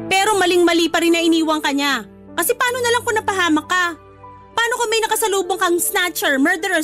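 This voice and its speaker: A scolding older sister in a radio drama, high-voiced and dramatic. She is blunt and protective, and faint music sits under her voice. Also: fairly bright tone.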